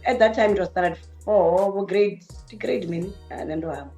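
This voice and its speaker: A woman with a Venda accent and a deep voice, a musician recalling her village childhood as a guest on a radio show. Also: moderate pace, soft background music. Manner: relaxed, reflective, mixes English and Venda. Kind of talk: casual radio interview. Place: radio studio.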